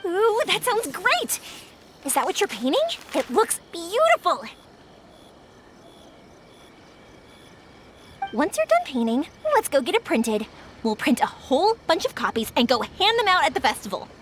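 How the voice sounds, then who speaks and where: An actress playing an upbeat, helpful young woman who makes fireworks in a video game, with a chatty, expressive delivery. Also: very high-pitched, normal speed, very noisy. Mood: enthusiastic. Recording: music behind the voice.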